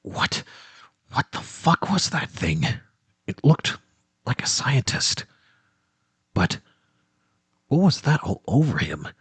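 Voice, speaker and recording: A man's voice: mid-range voice, very animated, takes it slowly, long pauses, slightly rough. A man narrating a first-person horror tape as he explores, tense and muttering, swearing and dropping into whispers. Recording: loud background noise.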